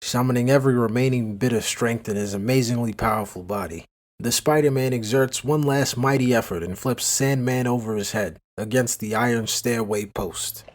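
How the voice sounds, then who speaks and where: A man's voice: medium pitch, moderate pace, natural voice. A man reading dramatic scripted narration for a comic book adventure.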